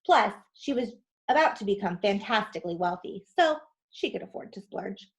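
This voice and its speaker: A woman reads a children's story aloud as narrator. Her delivery is expressive, and her voice sits at a middle pitch. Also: normal speed.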